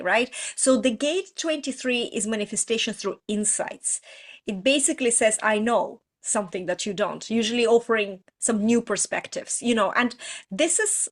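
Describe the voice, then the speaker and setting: Woman hosting a video call. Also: a little high. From Russian-speaking country. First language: Russian. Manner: animated, expressive, with frequent restarts and "you know". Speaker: host. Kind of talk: casual explainer on a video call. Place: home room, indoors. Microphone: video call webcam.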